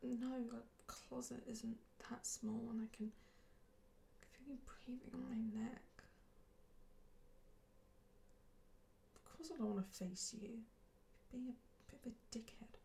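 A woman acts out a flustered woman in an audio drama, defensive yet quick to give in. Her breathy whisper is soft and dark, with a creaky edge. She speaks very slowly and haltingly, stammering as she goes. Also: fairly high pitch, steady tone, slight background noise. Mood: annoyed, nervous. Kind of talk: intimate whispered audio roleplay.

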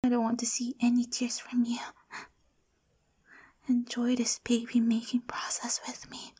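A woman's voice: fairly high pitch, completely flat delivery, measured pace, warm tone. A woman playing a domineering, possessive lover in an intimate audio roleplay, whispery and commanding. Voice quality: breathy, whispery. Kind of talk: adult ASMR audio roleplay.

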